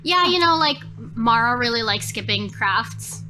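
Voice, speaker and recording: High-voiced woman over music. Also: lively intonation, unhurried, sharp tone, low-quality recording. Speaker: player in a tabletop roleplaying game. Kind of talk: improvised horror game narration. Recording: music behind the voice.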